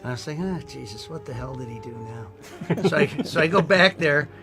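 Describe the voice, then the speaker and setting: A man's voice: fairly high pitch, lively intonation, fairly quick, music in the background. A man chatting casually as an interview guest, a producer telling stories in a joking, wisecracking way. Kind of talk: casual chat.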